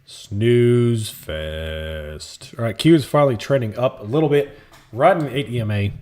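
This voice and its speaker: A man hosting a live stream, chatting casually and calmly while answering viewer comments in a soft, laid-back way. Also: mid-range voice, unhurried. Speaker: livestream trading host.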